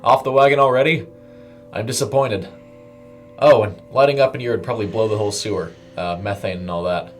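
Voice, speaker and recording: A man voicing a gruff, sardonic older male sewer worker in an audio story. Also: fairly low pitch, a little fast, soft background music, close to an everyday voice. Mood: wry, teasing.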